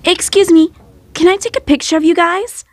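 A woman's voice: very high voice, steady tone, moderate pace, no room sound, pitched above the performer's own voice. A woman voicing a friendly female passer-by with a polite, gushing manner, in a comic dub.

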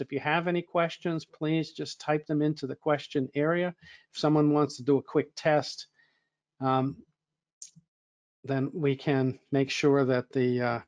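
A slightly hoarse man moderating an online training webinar, with a somewhat high voice. He talks in a relaxed, conversational way with fillers and restarts. The sound is close and dry. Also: no room sound.

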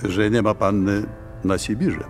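Radio drama officer, plain-spoken and grave, deepish voice, unhurried delivery. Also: male voice, fairly even intonation, faint music behind. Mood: grave, terse. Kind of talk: theatrical verse radio drama.

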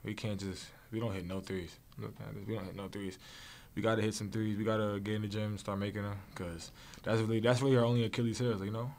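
A man's voice: on the low side, level tone, a little mellow, slight background noise. A young man, a basketball player, answering postgame questions in a casual, repetitive way at a desk mic in a press room. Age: young adult. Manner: casual, rapid, repetitive phrasing. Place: press room with logo backdrop. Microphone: desk mic on stand.

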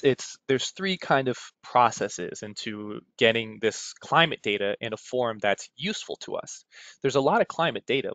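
A man's voice: lowish voice, a bit bright. A man with an American accent gives an informal technical webinar presentation in a conversational way, often checking in with "right?". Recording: roomy, dull lo-fi webinar audio.

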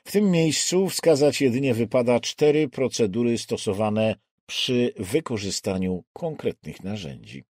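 A man narrating an audiobook in a measured, deliberate way with dramatic literary phrasing. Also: mid-range voice, animated, a little mellow, no echo.

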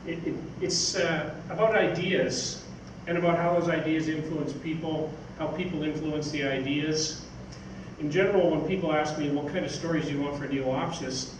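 An older man giving an informal, halting talk from notes on a stage. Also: on the high side, steady tone. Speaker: speaker, magazine editor. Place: theatre stage, indoors. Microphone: stage mic on stand, picked up by distant camera. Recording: roomy, noisy stage recording from far off.

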